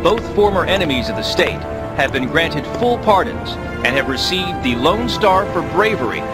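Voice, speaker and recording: A man's voice: on the high side, very animated, normal speed, loud music behind the voice. An actor playing a formal male news anchor reading a bulletin in a game cutscene. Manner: formal, announcer-like. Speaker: game character. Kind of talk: newscast reading.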